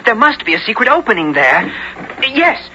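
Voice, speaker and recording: An actor playing a bold, earnest young squire in an old-time radio drama, speaking and narrating theatrically. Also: male voice, very high-pitched, very animated, moderate pace. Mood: resolute, tense. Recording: dull, noisy radio recording.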